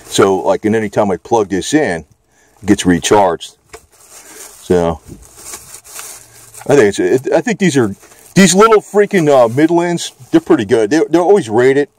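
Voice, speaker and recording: A man's voice: mid-range voice, lively intonation, a little slow, pauses often, darkish tone, no room sound. A man giving a casual, off-the-cuff product demonstration, talking in a rambling, hesitant, folksy way. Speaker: gadget reviewer.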